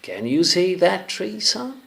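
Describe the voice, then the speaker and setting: A man's voice: high-pitched, very animated, slow, gravelly, a bit bright, pitched above the performer's own voice, full character voice. A man voicing a kind, patient shepherd father encouraging his son in a simple storybook tale.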